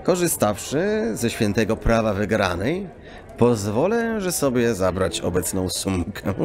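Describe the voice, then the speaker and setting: Male actor as composed, sly card sharp, archaic courtesy, drawn-out delivery, crowd murmur behind. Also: lowish voice, sing-song. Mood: calm, sly. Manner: mock-courteous, archaic, quirky phrasing. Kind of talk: audio drama acting.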